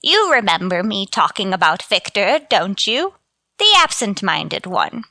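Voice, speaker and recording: A woman plays a chirpy, absent-minded landlady in a radio comedy. Her voice is bright and lilting, leaping around in pitch in a motherly, dithery way. The recording is close and dry. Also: sing-song, measured pace, no room sound, full character voice. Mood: dithery, rambling. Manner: sing-song cheer, dithery and absent-minded. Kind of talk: scripted radio sitcom acting.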